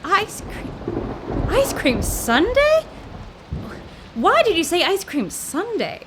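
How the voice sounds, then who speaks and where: A woman's voice: extremely high pitch, wildly swooping pitch, slow, heavy background noise, heavily acted. A woman playing a feisty, suspicious young 1930s woman in a radio comedy drama, brash and theatrical, full of period slang. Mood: exasperated, bossy.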